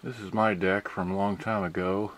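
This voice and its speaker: A man's voice: sits low, flat delivery, moderate pace. A man casually demonstrating audio gear as a hobbyist, rambling and muttering to himself. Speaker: amateur electronics hobbyist. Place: indoors in a room full of audio equipment. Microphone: handheld camera microphone. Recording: noisy handheld camera audio with clicks.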